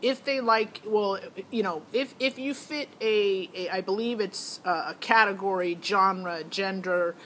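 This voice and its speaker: A woman's voice: medium pitch, a little slow, hardly any pauses, fairly bright tone, rough recording. A middle-aged woman telling a personal story in a casual, candid, opinionated way. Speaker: parent recounting a child's audition. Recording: noticeable background noise.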